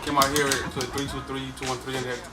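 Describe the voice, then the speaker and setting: A man's voice: medium pitch, flat delivery, fast talker. A man from Guatemala talking casually as a guest in an interview, laid-back and slangy, laughing easily. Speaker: rapper guest. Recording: distant mic with loud music behind.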